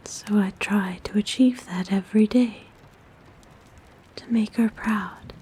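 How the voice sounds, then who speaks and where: A woman voicing a kind, gentle forest witch in a soft, breathy whisper, soothing and tender, for an ASMR roleplay. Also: mid-range voice, fairly even intonation, slow, slight background noise, lightly acted. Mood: wistful.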